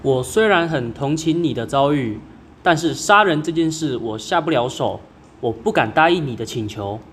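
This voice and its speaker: A man's voice: fairly high pitch, animated, normal speed, fairly bright tone, a little hiss or hum. A teenage male student playing a polite traveler who poses as a Taoist exorcist in an amateur radio drama. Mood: reluctant. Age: teens.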